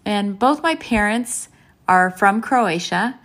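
A woman in her fifties speaks to camera as a nutrition and lifestyle vlogger. Her delivery is slow and unhurried, and her pitch sits at an ordinary middle height. She sounds open and reflective, taking her time over each thought. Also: animated, a bit bright. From Canada. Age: 50s. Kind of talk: candid casual talk to camera.

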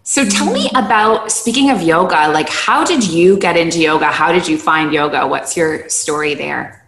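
A woman's voice: sits low, normal speed, fairly bright tone, a little hiss or hum. A woman hosting an interview over a video call, enthusiastic and upbeat as she asks her questions. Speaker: podcast host. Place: room in Abu Dhabi. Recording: roomy echo.

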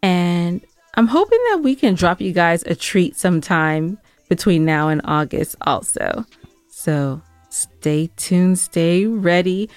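A woman's voice: fairly low pitch, lively intonation, slow pace, darkish tone, no echo, soft background music. A woman co-hosting a podcast, chatting casually and giving announcements to listeners. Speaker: podcast co-host.

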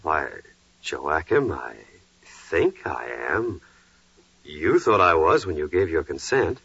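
An actor playing a hospitable, plain-spoken carpenter in a biblical 1940s radio drama, with a hearty, earnest manner. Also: male voice, low voice, very animated, slow, a bit bright, breathy edge, dry recording, exaggerated voice. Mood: puzzled, anxious. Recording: muffled 1940s radio recording.

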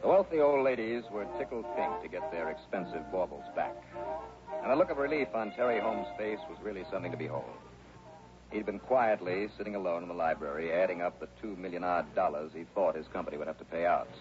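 An actor playing a wry, brisk, self-assured investigator in a 1960s radio detective drama. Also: male voice, low voice, lively intonation, loud music behind the voice. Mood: narrating. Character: wry, self-assured male insurance investigator, hard-boiled sleuth. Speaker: lead actor and narrator of a radio detective series. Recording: muffled, noisy old radio recording.

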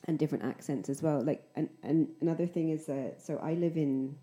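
A woman from Scotland with a mild Scottish accent, hosting an interview, speaking clearly and encouragingly. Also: low-pitched, brisk, mellow, soft background music. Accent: mild Scottish, softened by years in England. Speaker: podcast host and English teacher. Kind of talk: casual interview chat.

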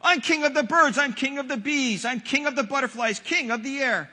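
A man's voice: extremely high pitch, fairly quick, sharp tone, heavily acted. A man voicing a boastful, domineering turtle king from a children's storybook, pompous and bellowing. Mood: gloating. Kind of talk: children's storybook read aloud. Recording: long hall reverb.

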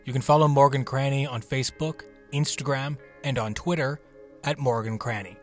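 A man's voice: medium pitch, steady tone, moderate pace. A man giving a scripted outro as the presenter of a story show. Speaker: podcast presenter. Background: music.

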